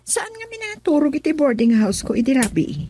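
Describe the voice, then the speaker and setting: An actress playing a brazen, teasing young mistress in Ilocano radio drama, with a Filipino accent. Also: female voice, high-pitched, big pitch swings, a little fast, dark tone, slight background noise. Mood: boastful, coy.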